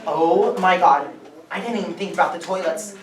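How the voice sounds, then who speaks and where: A woman's voice: lowish voice, moderate pace, close to an everyday voice. A woman playing a curious, baffled party guest in a staged play reading, questioning everything with blunt incredulity. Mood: incredulous. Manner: inquisitive, incredulous. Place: large indoor room, live staged reading. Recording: distant mic, echoey room, audience noise.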